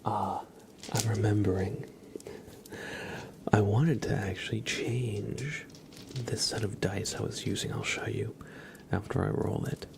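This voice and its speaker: A man hosting an ASMR livestream, chatting softly and breathily to viewers, unhurried and often repeating words. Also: on the low side, fairly even intonation, background noise. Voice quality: whispered, breathy soft voice. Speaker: livestream host.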